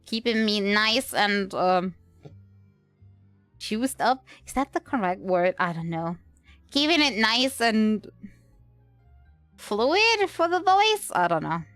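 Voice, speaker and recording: A woman's voice: medium pitch, sing-song, slow, long pauses, a bit bright, dry recording. A woman livestreamer giving casual, wry commentary on a video game, thinking aloud, with game music behind her.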